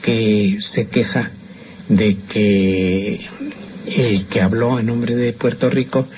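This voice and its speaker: A man's voice: on the low side, fairly even intonation, takes it slowly, a little hiss or hum. An older man with a Mexican accent, chatting opinionatedly as a radio host about language and restarting his phrases often. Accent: Mexican Spanish. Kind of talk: casual radio commentary. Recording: slightly muffled radio broadcast.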